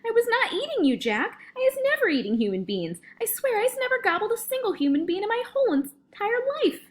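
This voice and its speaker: Lady reading as a big dumb man-eating giant, totally panicking, high pitched screamy begging. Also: very animated, a little fast. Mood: desperate pleading. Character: terrified huge brutish man-eating male giant, pleading wildly. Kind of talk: animated storybook read-aloud.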